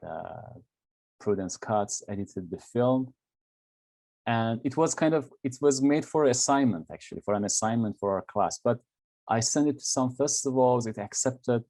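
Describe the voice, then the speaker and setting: A young man from Iran with an Iranian accent, Persian his first language, answering interview questions in a halting way, often pausing with fillers and restarting sentences. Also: lowish voice, mellow, no room sound. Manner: halting, searching for words with many fillers and restarts. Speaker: graduate film student.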